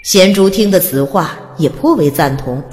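A woman's voice: on the low side, lively intonation, moderate pace. A woman narrating a fantasy audiobook in a scripted, dramatic storytelling style. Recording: long reverb, faint music underneath. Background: soft music.

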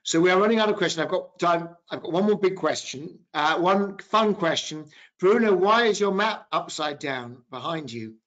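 A man's voice: sits high, animated, normal speed, fairly bright tone. A man in his fifties moderating a business panel discussion over a video call. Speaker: webinar moderator, business professor. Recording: dull video-call audio.

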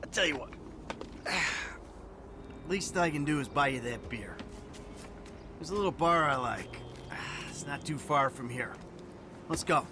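An actor playing a jaded, hot-tempered middle-aged American criminal in a video game, with a cynical, profane way of talking. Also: male voice, on the high side, animated, a little slow, long pauses, noisy background. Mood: casual. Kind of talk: video game cutscene acting.

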